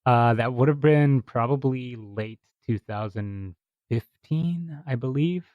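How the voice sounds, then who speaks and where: A man's voice: mid-range voice, takes it slowly, dry recording, phone-quality sound. A young man from Hawaii, a podcast guest, chatting casually and rambling with frequent laughs. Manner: rambling, self-correcting, laughs often, swears casually. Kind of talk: casual conversation.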